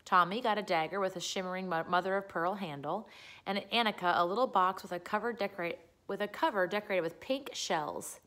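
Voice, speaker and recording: A woman's voice: medium pitch, a little fast, fairly bright tone. A woman reading a children's book aloud as a storyteller, narrating the story.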